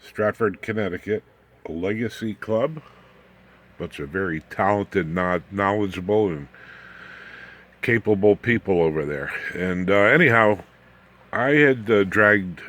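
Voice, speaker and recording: A man's voice: low voice, fairly bright tone. An American man in his seventies giving a casual, unscripted workshop demonstration, rambling in a folksy way with self-deprecating asides to the viewer. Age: 70s. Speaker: ham radio hobbyist and video host. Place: home workshop.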